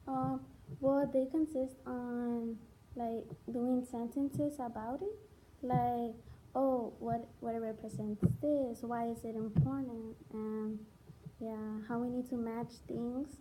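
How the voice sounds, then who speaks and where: A woman's voice: a little high, fairly even intonation, slow, warm tone, slight background noise, low-quality recording. Hesitant girl. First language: Spanish. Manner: hesitant, searching for words. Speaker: student interviewee. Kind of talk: school interview.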